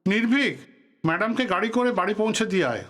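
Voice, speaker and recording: An actor playing a touchy, authoritative veteran politician in an audio drama, formal and commanding in manner. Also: male voice, high voice, expressive, quick pace, fairly bright tone. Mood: genial, commanding. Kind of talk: Bengali audio drama acting.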